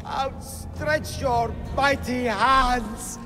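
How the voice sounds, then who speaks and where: A zealous game character hungry for eternity. The voice is very high, slow and theatrical, drenched in hall reverb under loud music. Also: male voice, exaggerated voice. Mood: ecstatic, fervent. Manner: theatrical, grandiose. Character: fanatical male devotee seeking eternity among the gods. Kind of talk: video game cutscene acting.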